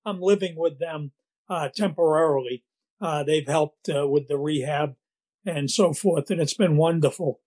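An older man with a Boston accent and a scratchy voice, telling his recovery story at a meeting in a halting way, with many fillers and restarts. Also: a little high, a little mellow. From Massachusetts, USA.

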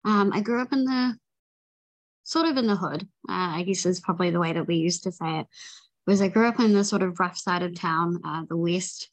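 Tired New Zealand woman, slightly low voice. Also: fairly quick, low-quality recording. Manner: rambling, many ums and restarts. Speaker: person sharing recovery story. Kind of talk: personal recovery share.

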